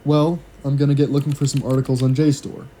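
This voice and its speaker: A man's voice: mid-range voice, level tone, fast talker, warm tone, a little hiss or hum, close to an everyday voice. A young man acting as a diligent, stressed college student in a naturalistic audio drama. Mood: businesslike, stressed.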